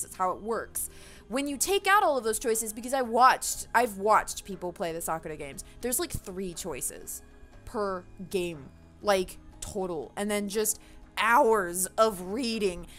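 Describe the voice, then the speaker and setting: A woman's voice: mid-range voice, fairly bright tone. A woman giving a casual, opinionated rant to viewers, passionate and emphatic, with loose swearing, as a gaming video creator.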